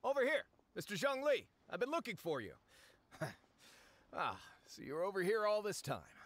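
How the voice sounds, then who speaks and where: An actor playing a slick, smug and impatient researcher in an anime game, with glib, affected politeness. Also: male voice, sits high, huge pitch swings, moderate pace, fairly bright tone, exaggerated voice. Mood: smug, cheerful.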